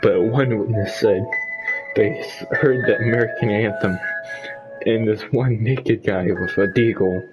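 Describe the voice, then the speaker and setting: A man improvising a mock-dramatic story for friends in a halting, pausing way, with music playing behind him. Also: on the low side, low-quality recording. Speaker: amateur storyteller among friends. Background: background music.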